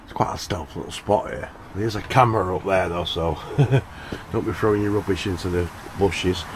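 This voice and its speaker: Deep-voiced Northern English man, noisy vlog. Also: moderate pace. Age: late 40s. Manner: rambling, informal, thinking aloud. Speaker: van-life vlogger. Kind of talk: casual unscripted vlog chat to viewers. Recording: noisy, traffic and vehicle ambience. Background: traffic and vehicle noise.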